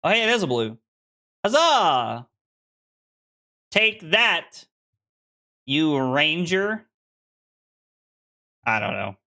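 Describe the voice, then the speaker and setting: A bright, fairly high-voiced young man streams a card game and chats with his viewers. He is in his late twenties and rambles loosely in a self-deprecating way, often restarting his sentences. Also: sing-song, very slow, pauses often. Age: 20s. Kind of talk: casual live-stream chat with viewers.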